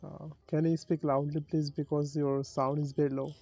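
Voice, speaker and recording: A man chatting in a voice app, speaking in careful, halting English full of questions. His voice is mellow and fairly high for a man. The sound is dry and a little dull, as over an app call. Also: little pitch movement, gravelly, faint music behind. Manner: halting, non-native English, asks many questions. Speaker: language learner in voice chat app. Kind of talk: casual voice chat.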